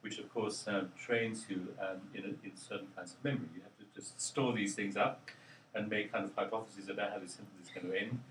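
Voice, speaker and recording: An older man, a linguist, answering interview questions in a halting, thoughtful way with many pauses and ums. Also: lowish voice, fairly even intonation, fairly bright tone, slight background noise. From Australia. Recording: roomy, distant mic with faint ambience.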